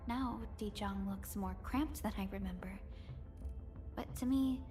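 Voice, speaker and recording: A woman voicing a gentle, earnest young supervisor in a video game, speaking in a measured, formal and wistful way. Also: medium pitch, music in the background, lightly acted. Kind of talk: scripted video game character dialogue.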